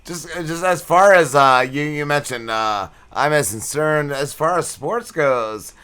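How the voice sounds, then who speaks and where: Casting: male podcast host, very animated pitch, forceful bright tone, informal and enthusiastic chat. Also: measured pace, clear, resonant tone. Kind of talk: casual interview chat.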